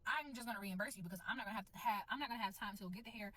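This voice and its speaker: Very fast-talking woman telling a story. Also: mid-range voice, bright, forceful tone. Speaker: vlogger telling a personal story. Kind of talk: casual storytime to camera.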